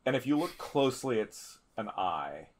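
A man's voice: mid-range voice, very animated, moderate pace, a little hiss or hum. A man playing a tabletop game, describing what his character does.

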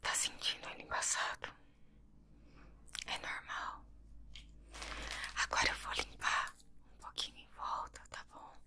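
Whispering young Brazilian woman, very slow. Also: very deep voice, monotone. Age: young adult. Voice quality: whispered, breathy. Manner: slow, soft-spoken, reassuring. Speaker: ASMR host playing an eye-test optician. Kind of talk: ASMR roleplay. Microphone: desk microphone, close up.

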